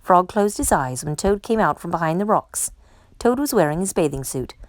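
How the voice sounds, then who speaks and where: A woman reads a storybook aloud with lively, swooping intonation. Her delivery is expressive and made for young children, and the recording is close and dry. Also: fairly low pitch, normal speed, no echo. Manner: animated, acts out character dialogue. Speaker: storytime reader.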